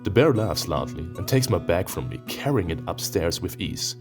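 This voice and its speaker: Lively low-voiced man reading a visual novel. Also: normal speed. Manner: expressive, playful, profane asides. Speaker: let's player. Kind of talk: reading a visual novel aloud with casual reactions. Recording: music behind the voice.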